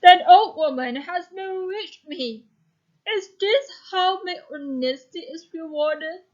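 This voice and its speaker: A woman's voice: very high voice, expressive, slow pace, darkish tone, muffled recording, voice pushed up, exaggerated voice. A woman voicing a proud, no-nonsense male baker in a storybook tale, emphatic and aggrieved. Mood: plaintive. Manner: emphatic, indignant.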